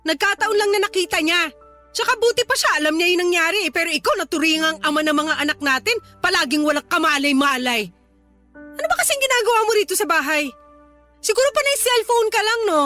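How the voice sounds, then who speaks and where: Very high-pitched, exasperated scolding wife. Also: female voice, lively intonation, fast talker, sharp tone, exaggerated voice. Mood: accusing, sarcastic. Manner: nagging, sarcastic. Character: exasperated, scolding young working mother and wife. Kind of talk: Tagalog radio drama acting. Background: background music.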